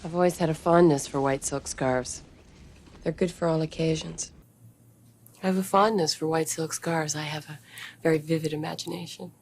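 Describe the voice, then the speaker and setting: A woman's voice: sits low, fairly even intonation, normal speed, darkish tone. An actress playing a cool, seductive woman novelist under suspicion, unruffled and teasing, in a film thriller. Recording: faintly noisy film soundtrack.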